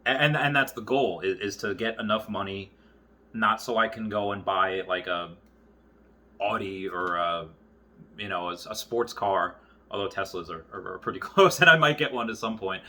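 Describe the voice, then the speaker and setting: Bright-voiced low-pitched man, rambling casually. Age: early 30s. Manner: rambling, halting, circles back on thoughts. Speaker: YouTuber. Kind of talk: casual unscripted monologue to viewers.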